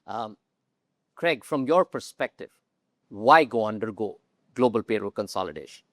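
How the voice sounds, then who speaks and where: A man's voice: lowish voice, lively intonation, normal speed, fairly bright tone, dry recording, studio quality. A man moderating a conference panel, welcoming the audience and introducing the guests. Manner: friendly, welcoming. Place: conference stage.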